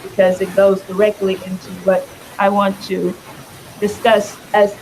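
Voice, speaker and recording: A hoarse, raspy-voiced woman speaking to a room as a political candidate. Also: mid-range voice, flat delivery, measured pace, noisy background. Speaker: congressional candidate. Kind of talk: campaign speech.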